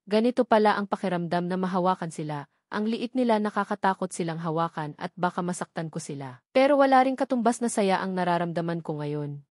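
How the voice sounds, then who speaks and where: A woman's voice: fairly low pitch, expressive, fairly quick, darkish tone, no echo, studio quality. A woman reading a scripted first-person story as an audiobook narrator.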